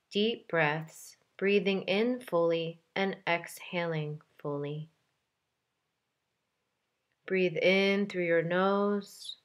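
A woman leading a guided meditation in a calm, gentle, measured way with deliberate pauses between phrases. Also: on the low side. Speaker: meditation guide, yoga teacher. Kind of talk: guided meditation, soft instructional delivery.

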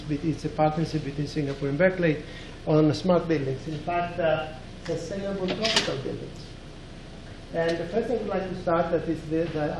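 A middle-aged man from Greece with a Greek accent, giving a relaxed university seminar lecture while pacing an auditorium stage. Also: fairly high pitch, noisy background. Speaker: professor giving a seminar talk.

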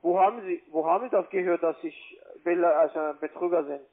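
A man with a foreign accent in German, a non-native speaker who argues as a call-centre caller in halting, repetitive, badgering broken sentences. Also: fairly high pitch, fairly even intonation, creaky. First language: not German. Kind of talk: argumentative phone conversation. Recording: narrow, tinny phone line.

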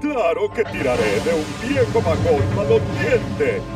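A pompous big engine in a cartoon, a proud male express locomotive with a high voice that leaps all over. He drags his words out slowly and exclaims with wounded pride. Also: big pitch swings, distant mic, over loud music. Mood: boastful. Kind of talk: cartoon dub acting.

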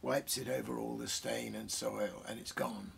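Gravelly, husky older British man. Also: medium pitch, fairly even intonation, normal speed. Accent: British English. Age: 60s. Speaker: host. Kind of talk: bookish commentary and reading aloud. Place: small book-crammed study, indoors.

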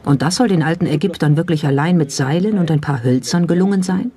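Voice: medium pitch, fast talker, warm tone. A narrator reading a scripted documentary in a pointed, skeptical, rhetorical manner. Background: background music.

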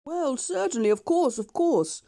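A woman's voice: high voice, expressive, unhurried, mellow, pitched above the performer's own voice. A woman doing a mild, mumbling kindly old doctor. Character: kind, absent-minded older male country doctor.

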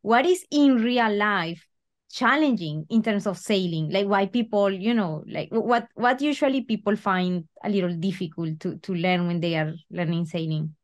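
A woman's voice: medium pitch, normal speed. Gracious woman host asking questions. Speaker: podcast host. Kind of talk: interview questions. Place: home room with bookshelves, indoors. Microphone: video call.

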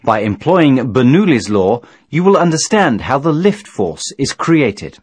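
A man reading scripted instructional narration in a measured, formal, didactic manner. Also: mid-range voice, sing-song, darkish tone, breathy edge, no echo. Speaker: training video narrator.

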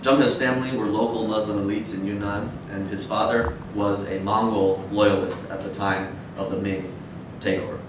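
A man in his thirties giving an academic lecture in a measured, hesitant way. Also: level tone. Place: dim wood-shelved seminar room with audience, indoors. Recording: echoey room, distant mic, noisy rumble.

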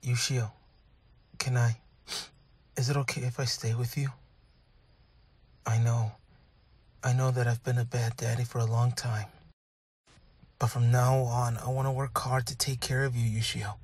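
A man playing a gentle, grieving young father in an anime fan dub, speaking softly and haltingly with remorse and tenderness. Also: medium pitch, little pitch movement, husky. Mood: pleading, remorseful. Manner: soft, halting, emotional delivery with sighs.

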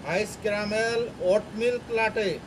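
A man's voice: high-pitched, fairly even intonation, slow pace. A man working a drive-thru window, tersely taking and repeating an order, picked up from inside a car. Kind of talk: customer service order-taking. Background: music, street and vehicle noise.

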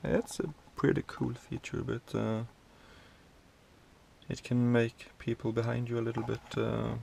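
A man in his thirties from Sweden, a truck driver giving a casual tour of his cab, explaining the controls in a halting way with many fillers and restarts. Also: mid-range voice, level tone, gravelly, warm tone, a little hiss or hum.

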